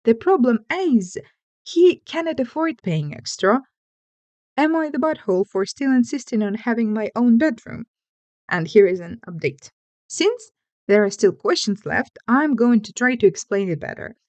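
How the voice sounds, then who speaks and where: A woman hosting and reading online stories aloud in an expressive, playful way with casual asides. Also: a little high, moderate pace, no echo.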